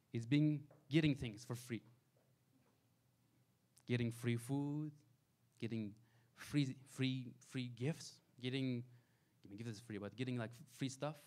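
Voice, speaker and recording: Pastor preaching with energy. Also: male voice, mid-range voice, a little slow, pauses often. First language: Farsi. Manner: preaching with wide swings between loud emphasis and soft asides. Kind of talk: sermon. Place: small church sanctuary. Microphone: handheld mic.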